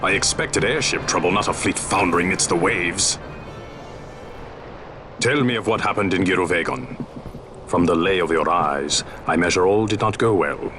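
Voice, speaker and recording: A proud, blunt sky pirate captain with a very low voice, played by an actor. He speaks in archaic, commanding phrases. Game music plays behind him. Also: normal speed, close to an everyday voice. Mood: grave. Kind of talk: video game cutscene acting. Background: game score music.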